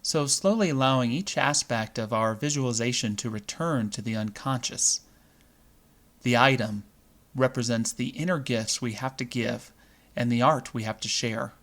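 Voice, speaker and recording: A man leading a guided visualization meditation, speaking in measured, deliberate phrases with pauses between them. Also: medium pitch, a bit bright.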